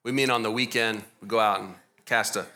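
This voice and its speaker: A man's voice: fairly low pitch, steady tone, a little fast, bright, forceful tone. A young man with a Texas accent, a pastor leading a church interview, friendly and joking, with hesitant restarts. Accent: Texan. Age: young adult.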